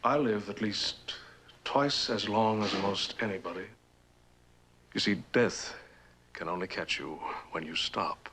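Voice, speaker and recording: A man's voice: fairly low pitch, unhurried, pauses often, fairly bright tone. An actor playing a wealthy, eccentric, world-weary man, talking in a wry, rambling, theatrical way in mid-century television drama style. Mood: wistful, expansive. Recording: noisy old TV drama soundtrack.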